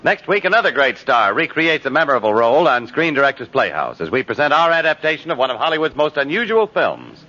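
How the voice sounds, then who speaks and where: A man's voice: mid-range voice, sing-song, quick pace, few pauses, pushed and bright. An American man doing polished, formal 1950s radio announcing. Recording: muffled vintage radio recording.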